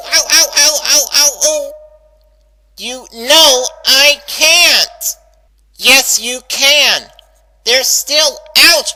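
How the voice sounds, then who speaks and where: A woman voicing a stubborn young cartoon pony who is frightened and in pain, speaking in an emotional, halting way for a fan-fiction reading. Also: high voice, sharp tone, exaggerated voice, rough recording.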